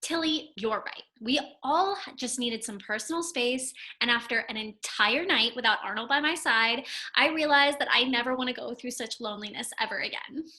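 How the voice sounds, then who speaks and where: A woman's voice: a little high, moderate pace, bright, forceful tone. A woman playing a dramatic, lovesick, sassy girlfriend in a script read-through.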